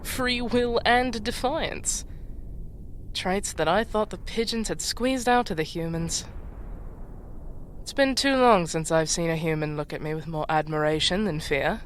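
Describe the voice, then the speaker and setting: A woman playing a fierce, commanding demon chieftain in a fantasy audio drama, speaking with imperious, deliberate menace and a wry edge. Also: lowish voice, slight background noise, close to an everyday voice. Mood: intrigued, stern. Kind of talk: fantasy audio roleplay acting.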